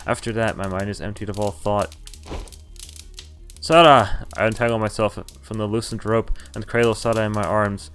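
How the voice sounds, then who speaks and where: A man's voice: lowish voice, flat delivery, brisk, hardly any pauses. A man streaming a visual novel, reading its story aloud dramatically, stumbling over words now and then and dropping casual asides and swearing. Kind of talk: dramatic read-aloud of game narration and dialogue with casual asides. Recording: music behind the voice. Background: game music.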